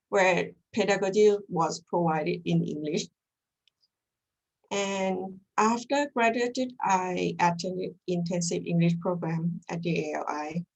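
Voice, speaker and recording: A woman's voice: fairly low pitch, darkish tone, low-quality recording. A young woman from Thailand, a native Thai speaker with a Thai accent, giving an earnest academic presentation as a graduate student over a video call. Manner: measured, earnest, slightly halting delivery.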